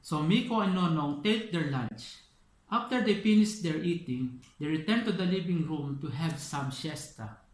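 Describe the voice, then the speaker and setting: Brief: male narrator, slightly high voice, scripted storytelling, echoey room. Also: animated, moderate pace, slight background noise. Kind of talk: scripted puppet show narration.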